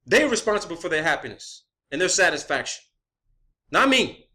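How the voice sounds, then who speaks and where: A man's voice: on the high side, big pitch swings, moderate pace, pushed and bright. A man delivering an unscripted, profane rant in a blunt, emphatic manner, often repeating phrases for emphasis, as an online video creator.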